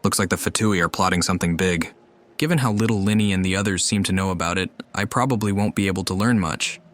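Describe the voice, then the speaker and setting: A man's voice: deep voice, fast, fairly bright tone, slight background noise. A man reading game dialogue aloud as he plays.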